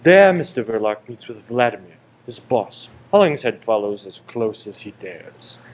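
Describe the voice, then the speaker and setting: A man's voice: mid-range voice, sing-song, moderate pace, slight background noise, natural voice. A man reading scripted narration for a radio play.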